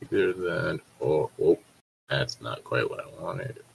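A man with a low voice reads aloud informally as part of an online group. He breaks off for joking, sardonic remarks and swears freely. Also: slow, gravelly, husky. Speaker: co-host reader. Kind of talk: casual group read-aloud of fan fiction with joking commentary. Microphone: own mic on an online voice chat.

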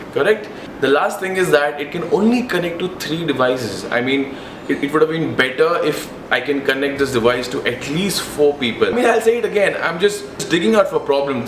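A man in his twenties with an Indian accent, giving a casual, conversational product review to camera and repeating words for emphasis. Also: mid-range voice. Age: 20s. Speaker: motovlogger reviewing gadgets. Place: indoors in a living room at home. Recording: roomy echo with clear background noise.

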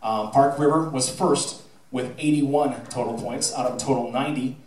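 A middle-aged man giving an informal speech on stage as a theatre director, telling anecdotes in an animated, projecting way. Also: medium pitch, normal speed, slight background noise. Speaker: high school theatre director. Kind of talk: informal speech to an audience, with anecdotes and thank-yous. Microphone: handheld microphone through PA, filmed from the audience. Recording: roomy, distant audience-camera recording.

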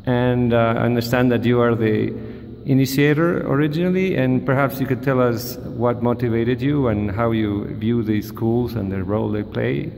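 A man's voice: medium pitch, normal speed, creaky, darkish tone. A man interviewing a colleague, asking questions hesitantly with many fillers, off camera in a large room. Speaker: interviewer, mathematician. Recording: roomy hall reverb, faint hiss.

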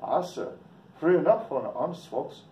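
A man's voice: fairly high pitch, lively intonation, moderate pace, dark tone, pitched above the performer's own voice, close to an everyday voice. Man doing a surly Irish townsman, roomy sound. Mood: stern, accusing. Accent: attempted Irish. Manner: gruff, accusing. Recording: roomy, distant mic with faint noise.

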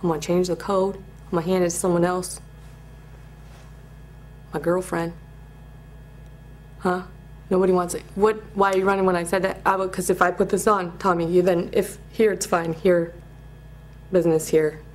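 A young woman playing a tough, rambling parole officer in a comedy monologue, menacing and halting. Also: lowish voice, level tone, slight background noise, lightly acted. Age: young adult.

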